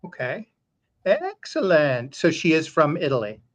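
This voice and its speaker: A man's voice: on the high side, wildly swooping pitch, a little slow. A man hosting an interview, asking brief questions and giving short acknowledgments. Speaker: interview host.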